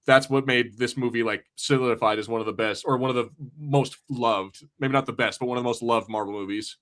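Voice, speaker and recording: A man's voice: medium pitch, steady tone, fairly quick, a bit bright. A man chatting casually as a podcast co-host about films, enthusiastic and sweary.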